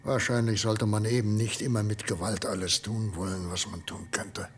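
An actor playing a considerate, cautious professor and inventor, courteous and deliberate, in a German radio play. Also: male voice, lowish voice, level tone, close to an everyday voice. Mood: sober, regretful. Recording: faintly noisy vintage radio drama recording.

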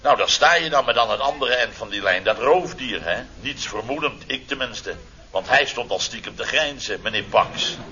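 A man's voice: mid-range voice, expressive, normal speed, bright, forceful tone. An actor playing a blustering, sarcastic middle-aged contractor boss, telling his mishaps theatrically in a radio comedy serial. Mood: exasperated, ironic. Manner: theatrical, exclamatory, sarcastic storytelling. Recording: dull, noisy old radio recording.

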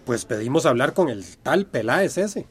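A man plays a community protest leader in a radio drama. His medium-pitched voice is earnest and measured, that of a careful organizer. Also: very animated, lightly acted. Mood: serious, resolute.

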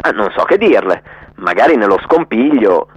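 Brisk, apologetic official played by a man. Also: lowish voice, sing-song, breathy edge, no echo, muffled recording. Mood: brisk, detached.

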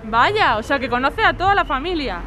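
A woman's voice: high voice, very animated, normal speed, noisy background. A woman playing an exasperated, worried wife in a radio drama, insistent and reproachful. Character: exasperated, worried wife, wary of others' troubles.

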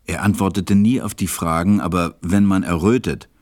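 Deep, melancholy storytelling pilot, played by a man. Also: steady tone, normal speed, darkish tone, no room sound, clean studio sound, close to an everyday voice. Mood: pensive, melancholy. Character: melancholy, tender grown man, stranded pilot telling his story. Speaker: narrator. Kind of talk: audio drama narration and acting.

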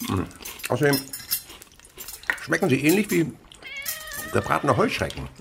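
A man's voice: fairly high pitch, huge pitch swings, measured pace, creaky, background noise, exaggerated voice. An actor playing an eccentric, curious insect researcher and father in a radio play, lecturing and musing theatrically.

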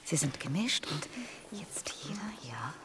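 An actress playing a gentle, motherly survivor in a German radio play, with a hesitant, kindly, murmuring delivery. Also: sits low, big pitch swings, measured pace, heavy background noise. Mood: coaxing, playful. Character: Elsa, gentle motherly deported woman, later aging survivor.

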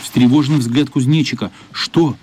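A man narrating a radio play in an expressive, measured literary storytelling style. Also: mid-range voice, a little hiss or hum.

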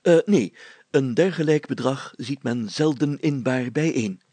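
A man's voice: mid-range voice, unhurried, dark tone, husky, no echo. A man voicing a pompous, fussy village mayor in an audiobook, speaking formally and stumbling into self-corrections. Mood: pompous, lecturing.

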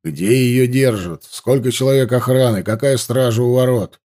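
A man voicing a grim warrior captor who interrogates slowly in short, clipped threats. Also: medium pitch, dark tone, close to an everyday voice. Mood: menacing. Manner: slow clipped hissing threats. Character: grim male warrior captor, cold, menacing.

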